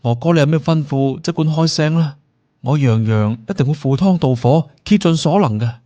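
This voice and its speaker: Man playing high-voiced loyal, clueless sidekick. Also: moderate pace, dark tone, no echo, lo-fi sound, natural voice. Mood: eager, loyal. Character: loyal, clueless, eager young male sidekick. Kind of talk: audiobook drama acting.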